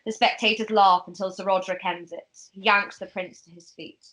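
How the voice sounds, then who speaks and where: A woman narrates a script at an amateur table read. Her voice sits at an average pitch as she reads out the stage directions. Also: steady tone, fairly quick, fairly bright tone, rough recording.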